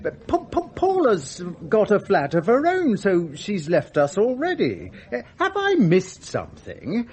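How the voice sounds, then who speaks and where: An actor playing a mild, middle-aged husband in a radio drama, hesitant and giggly, nervously agreeable. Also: male voice, sits high, wildly swooping pitch, measured pace, few pauses, a little mellow, exaggerated voice.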